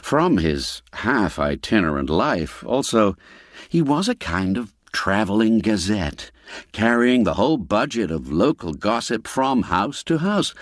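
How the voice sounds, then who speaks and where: A man narrating a classic tale in a scripted storytelling style, with an expressive, lilting delivery. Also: fairly low pitch, dry recording, clean studio sound.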